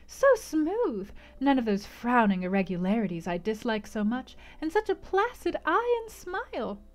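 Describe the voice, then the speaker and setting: A woman's voice: fairly high pitch, sing-song, moderate pace. A woman voicing a gushing young society lady in a period storybook reading.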